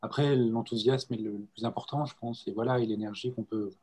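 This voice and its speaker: Husky middle-aged man, rambling. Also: medium pitch, a little fast. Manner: relaxed, rambling, repeats himself. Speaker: panelist, broadcaster staff. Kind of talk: online panel talk. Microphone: video call.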